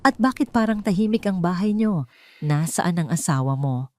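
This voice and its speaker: Woman voicing a stern, imperious older matriarch, low-pitched. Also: animated, normal speed, darkish tone, lightly acted. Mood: suspicious. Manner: authoritative, probing, formal. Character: stern, imperious older wealthy mother-in-law, suspicious matriarch.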